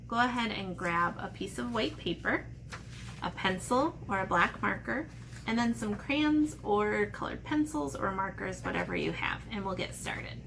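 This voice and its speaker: Woman, children's teacher, creaky mid-pitched voice, simple playful delivery, faintly noisy recording. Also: a bit bright. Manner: simple, child-directed explanations with playful asides. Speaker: elementary art teacher. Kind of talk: read-aloud and step-by-step drawing lesson for children.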